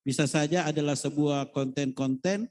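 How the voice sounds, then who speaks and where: A man's voice: fairly high pitch, normal speed, darkish tone. A man moderating a school session in a polite, formal manner, reading out names and handing over to the speaker. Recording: long hall reverb.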